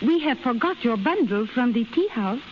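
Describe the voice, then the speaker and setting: An actress playing a dour, dutiful Japanese maidservant who speaks terse broken English, in 1930s radio drama style. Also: female voice, fairly high pitch, expressive, moderate pace, natural voice. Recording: noisy, muffled, crackling 1930s radio broadcast. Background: crackling noise.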